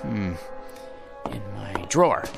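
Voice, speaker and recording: Humble male clerk, hesitant, unassuming, lowish voice, radio play, loud music behind. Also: expressive, slow pace, creaky, exaggerated voice. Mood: nervous. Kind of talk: radio drama acting.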